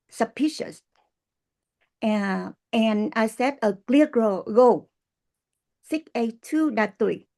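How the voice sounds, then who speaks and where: Female speaker, sixties, Vietnamese accent, higher-pitched with a creaky edge, earnest halting testimonial. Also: darkish tone. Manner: halting, earnest, non-native English with pauses. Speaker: guest speaker, business distributor. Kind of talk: prepared testimonial speech.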